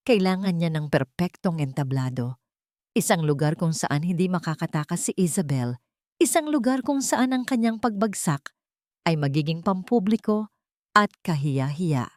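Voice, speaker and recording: Low-voiced woman narrating a drama. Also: animated, normal speed, darkish tone, dry recording, clean studio sound. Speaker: storyteller. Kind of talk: dramatic scripted narration of a Tagalog audio drama.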